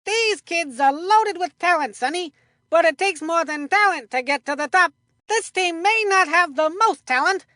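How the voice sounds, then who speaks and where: A woman voicing an excitable, folksy cartoon baseball commentator for a children's video game, with colloquial, emphatic delivery. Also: extremely high pitch, no room sound, studio quality, heavily acted.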